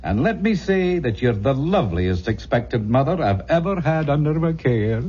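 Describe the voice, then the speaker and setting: A man's voice: medium pitch, big pitch swings, normal speed, natural voice. A man playing a genial, reassuring doctor, jovial and avuncular, with theatrical old-time radio delivery. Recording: muffled old radio recording, music behind.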